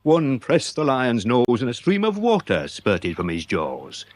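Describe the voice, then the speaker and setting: A ponderous, sing-song police sergeant. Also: male voice, mid-range voice, wildly swooping pitch, unhurried. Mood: solemn, proud. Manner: officious, stilted, grandly formal. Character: ponderous, self-important male police sergeant.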